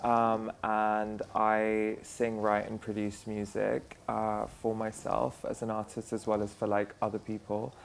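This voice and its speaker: A young man talks casually in a conversation. His voice stays in a fairly flat, narrow pitch band. He fills his sentences with "like" and "um". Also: unhurried, vocal fry, few pauses, a little hiss or hum. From South London, England. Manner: chatty, full of "like" and "um". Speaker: musician guest.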